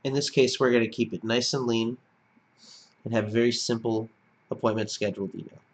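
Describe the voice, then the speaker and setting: A man's voice: medium pitch, steady tone, fairly quick. A man giving step-by-step screencast narration as an instructor, in a plain-spoken, explanatory manner. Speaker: software tutorial instructor.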